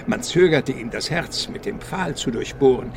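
An actor playing a policeman who recounts eerie legends with suspenseful pauses in a radio crime drama. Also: mid-range voice, lively intonation, a little hiss or hum, natural voice. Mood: suspenseful, relishing. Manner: storytelling, pausing for suspense.

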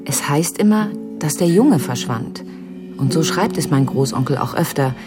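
Deep-voiced, inquisitive woman. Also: animated, normal speed, music in the background. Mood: probing, thoughtful. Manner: questioning, tentative, thoughtful. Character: inquisitive, thoughtful great-niece of a patient. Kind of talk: radio drama dialogue.

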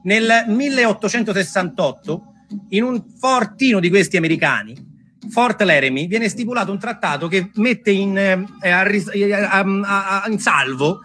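A man's voice: high-pitched, measured pace, pushed and bright. A man telling history as a theatrical monologue, emphatic, repeating himself and drawing out key words. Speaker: theatre storyteller and performer. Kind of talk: dramatised historical storytelling monologue for an audience.

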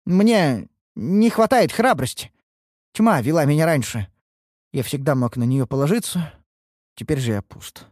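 A man voicing a meek, broken young warrior with a halting, downcast manner in a fantasy audiobook. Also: a little high, animated, a little mellow, no echo, clean studio sound, pitched above the performer's own voice. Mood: hollow, apologetic.

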